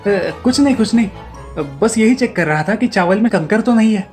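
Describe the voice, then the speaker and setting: A man's voice: high voice, lively intonation, moderate pace, a little mellow, voice pushed up. A man voicing a frantic, bumbling male thief in a comic children's cartoon story, exclaiming in a flustered way. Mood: flustered, evasive. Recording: music behind the voice.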